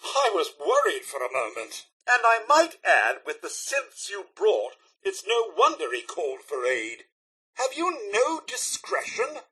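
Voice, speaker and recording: A male robot butler from a video game, prim, devoted and very British. The voice sits fairly high and cuts through brightly, leaping dramatically up and down. The delivery is formal and fussy, full of deferential politeness. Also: a little slow, breathy edge, full character voice, rough recording. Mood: proud, relieved, then indignant. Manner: formal, deferential, theatrically expressive. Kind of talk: video game character dialogue.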